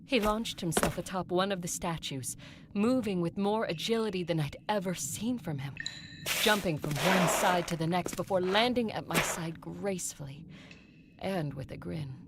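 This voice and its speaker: A woman playing an ancient vampire conqueror, fond and watchful, narrating her own story in an audio drama with a creaky voice. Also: fairly low pitch, moderate pace, close to an everyday voice. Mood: tender, awed. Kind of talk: dramatized first-person audio fiction narration. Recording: clearly noisy recording.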